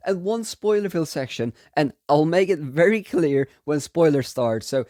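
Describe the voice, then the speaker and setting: A man's voice: fairly high pitch, lively intonation, moderate pace, a little mellow, dry recording, clean studio sound. A young man reviewing a fantasy novel in a casual, chatty way while he has a cold. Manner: enthusiastic, chatty, repeats himself. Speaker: book reviewer. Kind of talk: casual book review vlog.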